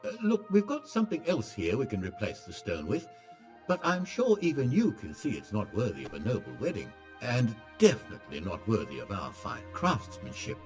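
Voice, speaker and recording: A man's voice: mid-range voice, huge pitch swings, moderate pace, darkish tone, soft background music. A man playing a proud, defensive medieval craftsman in a video game, explaining himself in a hedging way. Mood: apologetic pride. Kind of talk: video game cutscene dialogue.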